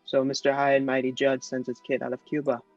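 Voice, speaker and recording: A man's voice: medium pitch, monotone, fast talker, darkish tone, natural voice. A man reading the part of a hostile male airport official who interrogates and mocks a boy, in a play table read. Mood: mocking. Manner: sneering, interrogating. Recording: dry, dull lo-fi audio with faint music.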